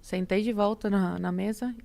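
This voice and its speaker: A woman's voice: mid-range voice, fairly even intonation, normal speed, gravelly, warm tone. A woman in her twenties from Cuba, a native Spanish speaker with a Cuban accent, telling stories casually and acting out bits of dialogue. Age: 20s. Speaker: podcast guest. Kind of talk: casual conversational storytelling.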